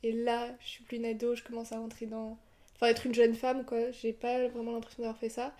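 A young woman co-hosting a podcast, chatting in a relaxed, hesitant way with fillers. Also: a little high, a little fast. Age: young adult. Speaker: podcast co-host. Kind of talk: casual chat.

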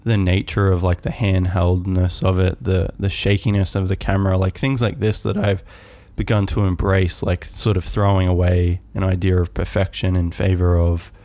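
A man hosting a podcast, musing on his own in a calm, soft voice, rambling with many fillers and restarts. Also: deep voice, moderate pace, hardly any pauses, dry recording. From Australia. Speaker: podcast host. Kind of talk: casual solo musing.

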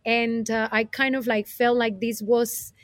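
Unhurried, high-voiced Colombian-accented woman. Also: flat delivery, no echo, studio quality. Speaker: podcast guest, businesswoman. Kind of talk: conversational personal storytelling.

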